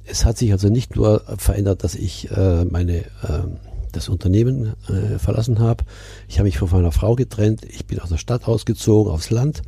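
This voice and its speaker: An older man in his seventies speaks in a very dark, husky voice. He is from Milan and recounts personal experiences in a deliberate, reflective way, as a guest on a podcast. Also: lowish voice, dry recording. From Milan, Italy. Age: 70s. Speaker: coach, interview guest.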